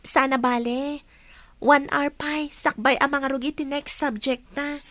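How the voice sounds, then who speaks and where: A woman's voice: high voice, steady tone, a little slow, a bit bright, no echo. A woman playing a dutiful, studious young college student daughter in an Ilocano radio drama, with a Filipino accent. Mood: friendly.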